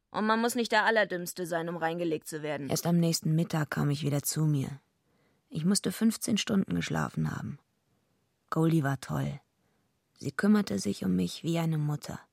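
A woman's voice: low-pitched, creaky, no echo, clean studio sound. An actress playing a naive but tough young female wrestler in a radio drama, plain-spoken and slangy as she tells and acts out her story. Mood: rueful, grumbling. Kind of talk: radio drama acting and first-person narration.

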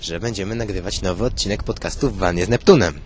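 A man's voice: low-pitched, fast talker, darkish tone, slight background noise. A man co-hosting a comedy podcast in casual, playful scripted banter. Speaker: podcast co-host.

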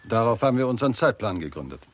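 An actor playing a self-assured, matter-of-fact scientist in a 1960s German radio play, reasoning in a conversational tone. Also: male voice, mid-range voice, big pitch swings, very fast. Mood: thoughtful.

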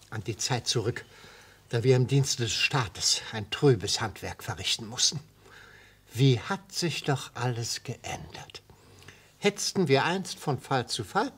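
An actor playing a genial retired old prosecutor in a radio play, grandiloquent and oratorical, with a slightly husky voice. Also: male voice, mid-range voice, sing-song, takes it slowly, heavily acted. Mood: sentimental, genial. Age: older. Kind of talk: German radio play acting.

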